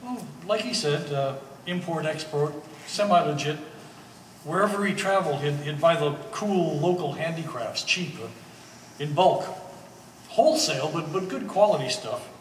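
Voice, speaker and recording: A man's voice: fairly high pitch, lively intonation, normal speed, lightly acted. Guy playing laid-back wry buddy in a play, kinda hesitant and jokey, recorded from far off in a big echoey hall. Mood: explaining, casual. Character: easygoing, wry American man, old family friend. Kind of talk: one-act stage play performance. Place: gallery stage performance, indoors. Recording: echoey hall, distant mic, faint noise.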